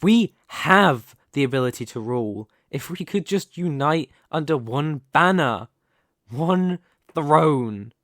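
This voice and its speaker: A man playing a possessive, ambitious young mafia boss in an ASMR roleplay, speaking deliberately and condescendingly with pointed, theatrical pauses. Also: on the high side, lively intonation, fairly bright tone, no echo, clean studio sound. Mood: grandiose, persuasive. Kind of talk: ASMR roleplay, dramatic monologue to the listener.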